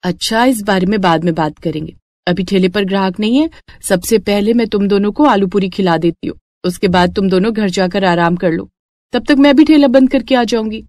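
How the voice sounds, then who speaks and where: A woman voicing a gentle, caring mother who runs a food cart in a story. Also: medium pitch, a little fast, no room sound, lightly acted.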